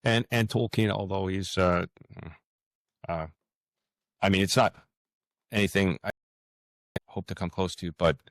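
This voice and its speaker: A man with a low voice speaks as a guest author in a relaxed interview. He feels his way through answers, repeating and qualifying his words, with a dry, close sound. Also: unhurried, pauses often, dry recording. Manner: hesitant, hedging and repeating words.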